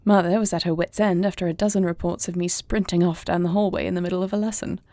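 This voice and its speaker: A woman playing an earnest young princess and heir in an intimate audio roleplay, soft-spoken and formal in her phrasing. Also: fairly low pitch, little pitch movement, fast, dry recording, natural voice. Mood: fond, playful. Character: earnest young princess and warrior heir, anxious yet tender.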